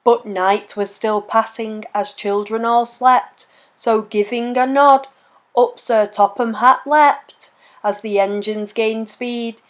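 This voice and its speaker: A woman reading a rhyming children's picture book aloud in an expressive, measured storytelling manner. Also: fairly high pitch. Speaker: children's story reader and channel host.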